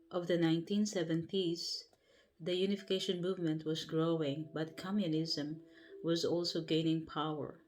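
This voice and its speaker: A woman with a Filipino accent reads a book aloud on a bedtime livestream from home. She goes slowly and carefully, trips on longer words and corrects herself. Soft music plays faintly behind her. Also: on the low side. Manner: careful, halting reading with self-corrections. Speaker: livestream host. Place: at home before bed. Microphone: livestream setup.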